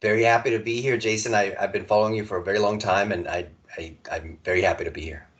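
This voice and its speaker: A man in his forties from Serbia, a podcast guest telling his story in a relaxed conversational way, stumbling and restarting often. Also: fairly low pitch, highs cut off. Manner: halting, many restarts and repeated words.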